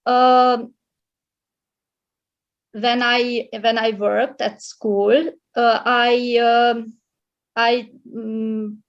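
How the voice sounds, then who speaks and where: A woman's voice: a little high, flat delivery, extremely slow, pauses often. A middle-aged woman from Romania, a librarian and English tutor, speaking as a guest in a casual video-call interview, hesitant with many fillers and restarts. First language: Hungarian. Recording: muffled lo-fi audio.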